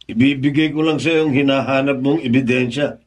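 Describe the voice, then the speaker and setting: A man acting as a middle-aged Filipino father in a radio drama. He is remorseful yet firm, with a solemn, deliberate delivery at a medium pitch. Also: fairly even intonation, a little hiss or hum, close to an everyday voice.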